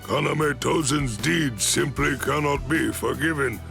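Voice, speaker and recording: An actor playing a stern yet kindly old military head captain in an anime game, speaking in a measured, formal, gravelly voice. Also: male voice, medium pitch, over music. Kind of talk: scripted anime game cutscene dialogue.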